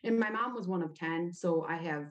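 A woman with a notably low-pitched voice. She speaks as a guest in a personal interview, telling stories informally and dropping in "like" often. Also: moderate pace, muffled recording. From United States, grew up in Ohio. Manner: informal, frequent "like" as a verbal habit. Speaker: podcast guest. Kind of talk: conversational personal storytelling in an interview.

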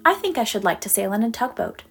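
A woman's voice: fairly high pitch, very animated, quick pace, creaky, husky, soft background music, full character voice. A woman voicing a silly, dreamy little boy duckling who talks in an eager, wishful way for a storybook.

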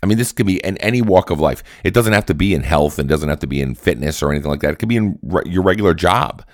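Solo podcast host, male, deep and raspy with a cold, earnest pep-talk delivery. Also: no room sound, studio quality. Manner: earnest, repetitive, coaching tone.

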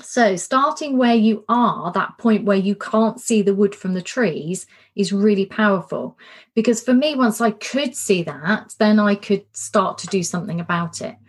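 Candid woman coach, slightly hoarse. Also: mid-range voice, measured pace. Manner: warm, candid, self-reflective, repeats words for emphasis. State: slightly losing her voice. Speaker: coach and podcast host. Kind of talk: casual spoken workshop talk.

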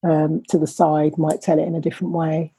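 Husky, mellow-voiced woman in conversation. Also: sits low, monotone, no echo. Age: middle-aged. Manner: thoughtful, measured, frequent restarts. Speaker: academic guest. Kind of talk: reflective interview conversation.

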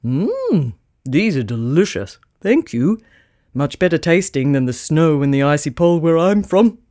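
A woman's voice: low-pitched, sing-song, a little slow, warm tone, no room sound, studio quality, pitched below the performer's own voice. A woman voicing a kindly, fretful old male Santa in a children's storybook with fussy, old-fashioned phrasing. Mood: pleased.

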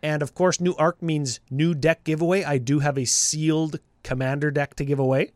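A man's voice: fairly high pitch, steady tone, normal speed, no echo. A man co-hosting a casual podcast, upbeat and laughing often, his voice a bit scratchy from a cold. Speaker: podcast co-host. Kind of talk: casual chat.

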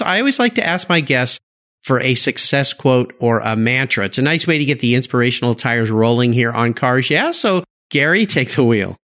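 A man's voice: mid-range voice, fairly bright tone. A man from San Diego hosting a car podcast, eagerly praising and questioning his guest. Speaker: podcast host. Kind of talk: interview podcast.

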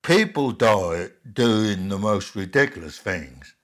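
An actor in an audio story playing a huge male thug with a slow, deliberate, mock-friendly menace and a gravelly, husky voice. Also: fairly low pitch, animated. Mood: threatening. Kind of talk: dramatised audio story dialogue.

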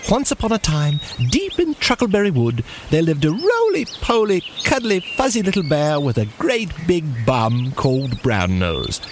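Genteel storybook narrator acted by a man, whimsical and theatrical, very wide swings in pitch, over clear background noise. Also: wildly swooping pitch, normal speed, few pauses. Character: genteel male storyteller of a parody children's story time.

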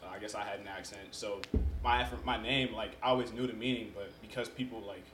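Young man, first language Yoruba, low voice, rapid casual storyteller, noisy echoey room. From United States. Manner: relaxed storytelling, frequent fillers. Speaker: podcast guest. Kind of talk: casual conversation. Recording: echoey room with background noise.

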